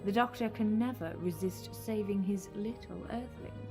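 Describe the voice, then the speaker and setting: A woman's voice: mid-range voice, music in the background, lightly acted. A woman playing an imperious, scheming false goddess in an audio drama, commanding and condescending. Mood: silky scheming. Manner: commanding, slow condescending endearments.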